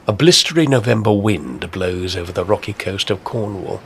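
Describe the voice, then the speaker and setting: A man's voice: fairly low pitch, expressive, moderate pace, creaky, a bit bright, husky, heavily acted. A man narrating a scripted, spooky Victorian tale of the coast. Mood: storytelling. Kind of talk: scripted ghost-story narration.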